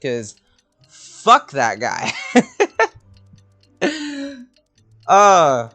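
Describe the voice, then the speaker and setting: Higher-voiced man commenting on a video game. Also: wildly swooping pitch, very slow, sharp tone. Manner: informal, profane, reacting aloud. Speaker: streamer reacting to a game. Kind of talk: casual chat, gameplay commentary. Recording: game music behind the voice. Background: video game music.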